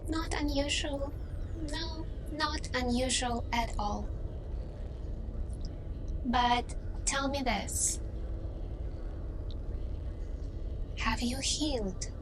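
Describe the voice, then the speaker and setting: Slow, high-voiced gentle alien woman played by an actress. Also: steady tone, noisy background. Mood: tender, probing. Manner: soft, deliberate, pausing between short phrases. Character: gentle, probing humanoid alien woman interviewing a potential partner. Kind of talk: slow ASMR roleplay.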